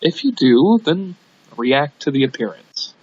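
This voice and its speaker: A man's voice: fairly high pitch, sing-song, measured pace, a little mellow, breathy edge, dry recording. A man reading a scripted audiobook in an amateur narration style, on a dull lo-fi recording. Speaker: audiobook reader.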